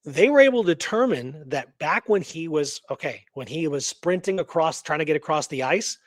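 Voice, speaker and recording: A man hosting a talk show, discussing history in an animated, informal, conversational way. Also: fairly high pitch, normal speed, no echo, clean studio sound. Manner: animated, informal, peppers talk with "you know what I mean". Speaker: show host. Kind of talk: casual history discussion and storytelling.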